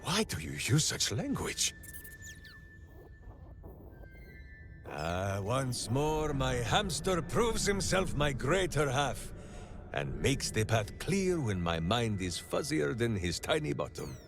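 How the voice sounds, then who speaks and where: A big-hearted, simple-minded warrior hero for a video game. He speaks slowly in a gravelly voice that swings widely in pitch, with earnest, grandiose declarations. Music plays behind him. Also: big pitch swings. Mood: rousing. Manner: grandiose, earnest third-person speech. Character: hearty, devoted, simple-minded heroic warrior man with beloved pet hamster. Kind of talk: theatrical video game voice acting.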